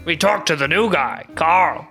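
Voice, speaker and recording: A man's voice: fairly high pitch, unhurried, gravelly, pushed and bright, soft background music. A man chatting casually as a player on a comedy game podcast. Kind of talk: casual chat.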